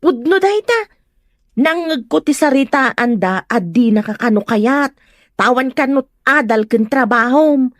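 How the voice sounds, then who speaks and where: A woman's voice: high voice, expressive, unhurried, dry recording, studio quality. A woman with a Filipino accent playing a gossipy, prying neighbour in an audio drama. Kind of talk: Ilocano audio drama acting.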